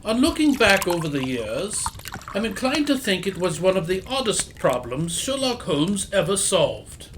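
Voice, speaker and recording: A man playing a genial, old-fashioned gentleman doctor who recounts old cases in an audio drama. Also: high voice, very animated, a little slow, background noise. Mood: reminiscing. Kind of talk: audio theatre acting, storytelling.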